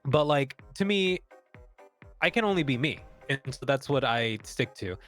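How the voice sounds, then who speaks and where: A man's voice: mid-range voice, lively intonation, normal speed, a bit bright, faint music behind. A young man chatting casually on a stream, rambling with lots of fillers and restarts. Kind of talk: casual chat.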